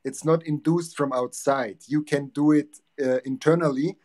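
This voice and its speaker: A man's voice: a little high, fairly even intonation, normal speed, darkish tone. A man in his forties with a German accent, earnestly explaining his ideas as a guest on a video call. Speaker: guest, relationship coach. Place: home office with bookshelves.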